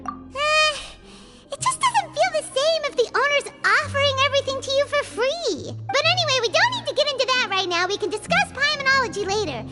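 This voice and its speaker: A woman voicing a tiny, childlike floating fairy companion in a video game, squeaky, cheeky, chatty and blunt. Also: big pitch swings, fairly quick, over loud music. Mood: chatty, hesitant. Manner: refers to self in third person, blunt. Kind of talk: video game character voice acting.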